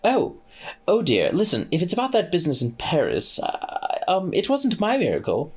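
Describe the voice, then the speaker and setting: Man reading as a high, husky, fussy angel bookseller who stammers; dithering, lively. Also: normal speed, heavily acted. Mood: flustered.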